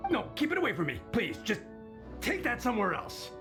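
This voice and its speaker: A man's voice: high voice, huge pitch swings, a little fast, music in the background. An actor playing an opinionated, effusive old male tavern drinker in an anime game, theatrical and exaggerated. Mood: disgusted.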